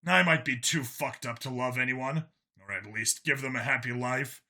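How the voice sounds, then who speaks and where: A man acts out a jaded male cop from a science fiction audiobook. The voice is bright and forceful, at a middling pitch. He speaks bluntly but haltingly, guarded about his feelings and questioning himself. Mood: troubled, unsure.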